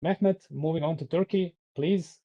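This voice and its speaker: A man's voice: on the high side, moderate pace, highs cut off. A man moderating a webinar on a video call, handing off to panelists with brief polite prompts. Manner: brief polite hand-offs. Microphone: webcam on video call.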